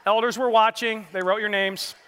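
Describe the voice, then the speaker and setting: A man's voice: sits high, steady tone, normal speed, fairly bright tone, big-room sound, slight background noise. A man preaching as lead pastor, joking with the congregation and asking them questions. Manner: jokey, conversational, asks the crowd questions. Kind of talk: sermon with audience banter. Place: large church hall.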